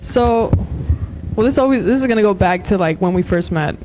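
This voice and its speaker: A woman's voice: medium pitch, lively intonation, a little fast, background noise. A young woman from Los Angeles, a musician guest, chatting casually with many fillers and frequent laughs. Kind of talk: casual interview chat.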